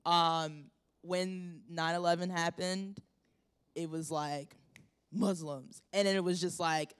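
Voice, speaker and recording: A student argues a point in a group discussion. The voice is smooth and clear. The speech rambles, with many fillers, and the points land with emphasis. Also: mid-range voice, fairly even intonation, slow, a bit bright. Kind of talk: casual spoken opinion.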